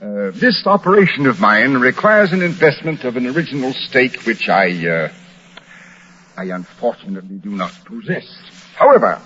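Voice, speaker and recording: A man's voice: medium pitch, big pitch swings, moderate pace, sharp tone, husky, full character voice. An actor playing a pompous, genial con man posing as a colonel, with flowery, hesitating speech, in a 1940s radio drama. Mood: sly, coaxing. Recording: noisy, dull old-time radio recording.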